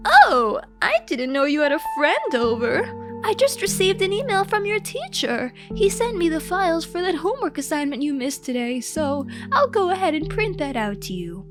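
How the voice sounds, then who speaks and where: A woman's voice: very high voice, very animated, normal speed, music in the background, voice pushed up. A woman voicing a kind older mother with a chatty, motherly manner in an audio story. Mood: kindly, surprised.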